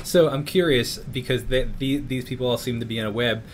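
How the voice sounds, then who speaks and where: A man's voice: medium pitch, fairly quick, a little hiss or hum. A young man with a New York accent hosting a casual interview for his music channel over a video call. He rambles and restarts his sentences often, with plenty of fillers.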